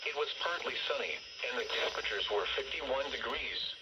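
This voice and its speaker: A synthetic American man's voice reading a scripted weather bulletin in a measured way through a small radio speaker. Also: mid-range voice, animated. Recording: dull lo-fi radio speaker, noisy static.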